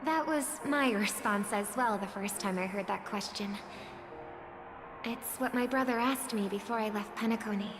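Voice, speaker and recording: A woman playing a gentle, earnest young female singer in a video game, idealistic and measured in speech. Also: medium pitch, lightly acted. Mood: wistful, reflective. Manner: measured, soft-spoken, earnest. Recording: long reverb, music under the voice. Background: background music.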